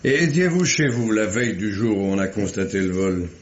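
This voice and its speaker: A man acting as a police detective with a very deep voice, measured and formal as he probes and questions. The sound is muffled, like a vintage radio mystery play. He stays courteous but persistent. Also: lively intonation, a little hiss or hum, natural voice. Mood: probing, courteous. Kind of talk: old French radio mystery drama acting.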